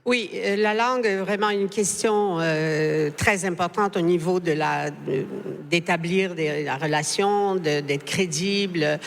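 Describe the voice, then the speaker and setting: A woman's voice: on the low side, unhurried, gravelly, hardly any pauses. Panel guest, woman journalist, lots of euhs and restarts, non-native french speaker, live talk in a hall with long reverb. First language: not French. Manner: hesitant, frequent fillers and restarts. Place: book fair stage.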